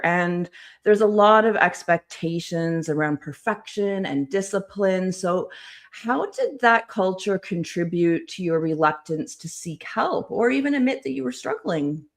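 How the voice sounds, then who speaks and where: A podcast host with a mid-range voice asks interview questions. The host often affirms the guest with soft "mm" sounds. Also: medium pitch, animated, unhurried. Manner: affirming, frequent soft backchannels.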